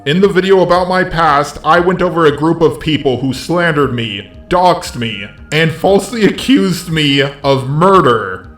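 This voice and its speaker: A man's voice with a synthetic robot sound, reading a scripted video commentary in a deliberate, explanatory, occasionally sarcastic way. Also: fairly high pitch, a bit bright, roomy sound, faint music behind. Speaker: video creator.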